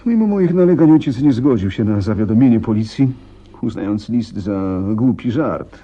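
Casting: male actor for a helpless, fearful young gentleman in a radio drama; soft-edged tone, lively pitch swings, halting dramatic delivery. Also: muffled recording, lightly acted. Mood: anxious, narrating. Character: frightened, helpless young gentleman recounting family deaths.

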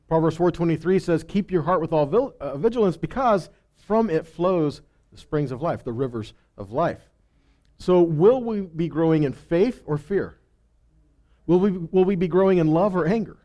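Mellow, higher-voiced middle-aged male preacher. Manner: emphatic, rhetorical questions, stresses key words. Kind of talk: sermon, conversational teaching. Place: stage in a congregation hall. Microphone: headset.

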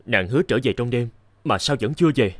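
An actor playing a naive, smitten young scholar in an animated fairy tale, earnest and theatrical. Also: male voice, medium pitch, a little fast, dry recording, studio quality, natural voice. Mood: lovestruck.

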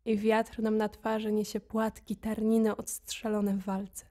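Female reciter for audio drama: mellow tone, little pitch movement, solemn restraint. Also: completely flat delivery, unhurried. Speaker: poetry reciter.

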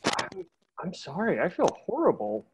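A man's voice: high-pitched, wildly swooping pitch, moderate pace, slight background noise, rough recording. A man reading a hapless, apologetic young man in a table read, speaking sheepishly.

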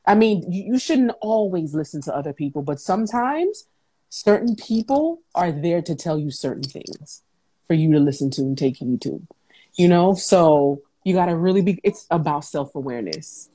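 Low-voiced, mellow woman chatting emphatically. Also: moderate pace, husky. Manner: animated, emphatic, conversational. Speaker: guest, talk show host and entrepreneur. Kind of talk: casual motivational interview chat. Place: indoors at home on a video call. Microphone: wired earbuds on a video call.

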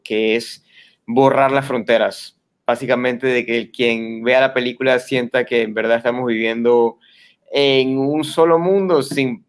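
A man's voice: medium pitch, clean tone. A young man, a filmmaker guest, giving thoughtful, reflective answers over headphones on a video call. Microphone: headphones with mic on a video call.

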